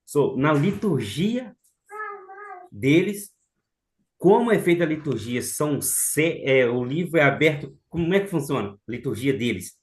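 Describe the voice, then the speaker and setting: A man's voice: a little high, big pitch swings, measured pace. Male pastor-student, hesitant question, sounding roomy and distant from the mic. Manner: hesitant, searching for words. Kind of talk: asking a question in class.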